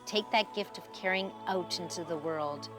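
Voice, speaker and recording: A woman's voice: lowish voice, normal speed, music in the background, natural voice. A woman voicing a kind, wise magical princess in a storybook, speaking solemnly and encouragingly. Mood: kindly, solemn.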